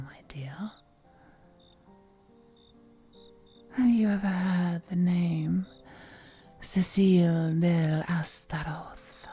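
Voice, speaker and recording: Woman acting as ancient vampire noblewoman, super slow seductive murmur, breathy and half whispered, flirty predator, music behind her. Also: on the low side, warm tone, full character voice. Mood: seductive, teasing. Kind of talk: intimate audio roleplay acting.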